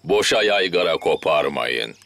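A man's voice: very deep voice, expressive, unhurried, vocal fry, a bit bright, full character voice. A man voicing a jolly, playful daddy pig in a children's cartoon, with a hearty, jovial manner. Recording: music behind the voice.

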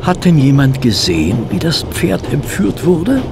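A man's voice: fairly high pitch, very animated, a little slow, over music. An actor playing a detective's puzzled, plain-minded male companion, flustered and questioning in a radio play. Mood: puzzled, curious. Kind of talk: German radio play dialogue. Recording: clear vehicle noise behind.

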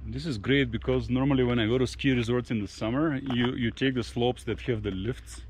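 A man's voice: medium pitch, fairly even intonation, brisk. A man talking casually as a travel vlogger, thinking aloud in a conversational way. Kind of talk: casual travel vlog commentary to camera. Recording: noisy outdoor and car background.

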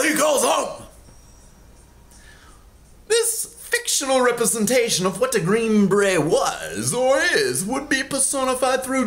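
Theatrical man with a lively high voice. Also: creaky. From Kansas, USA. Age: 40s. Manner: theatrical, sardonic, bursts into loud emphasis. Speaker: author reading his own book. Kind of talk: dramatic memoir reading. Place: small indoor room at home. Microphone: webcam close-up.

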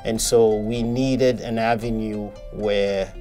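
A man from Ghana, interviewed, explaining a community program in a measured way. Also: on the low side, fairly even intonation, dark tone, soft background music. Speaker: community member. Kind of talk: interview testimony.

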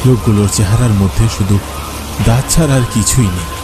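A man's voice: on the low side, a little fast. A man reading a scripted horror story as its narrator, with music behind him. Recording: loud music under the voice. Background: loud background music.

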